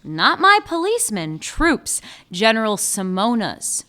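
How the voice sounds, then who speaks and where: Casting: a woman as a sarcastic top cop, bright clear voice, animated delivery. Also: fairly high pitch, a little slow, heavily acted. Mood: guarded. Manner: dry, sarcastic. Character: sardonic female police chief. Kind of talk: radio play acting.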